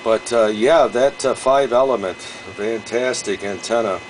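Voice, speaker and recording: Casting: male ham radio operator, lowish pitch, hesitant casual delivery, noisy humming recording. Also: unhurried. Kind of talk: casual radio contact chat.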